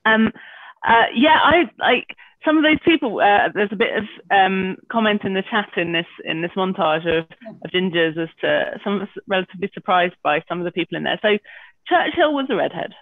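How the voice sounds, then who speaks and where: Male host, high and gravelly, chatty and jokey, tinny phone-line sound. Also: lively intonation, a little fast, no room sound. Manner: chatty, self-deprecating humour. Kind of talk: casual hosting on a video call. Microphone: video call.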